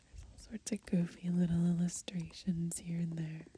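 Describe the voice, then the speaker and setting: A woman softly murmuring ASMR commentary as she browses a book, breathy and gentle, outdoors. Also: fairly low pitch, little pitch movement, moderate pace. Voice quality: breathy, whispery. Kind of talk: ASMR, soft murmured commentary and title reading. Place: outdoors on grass.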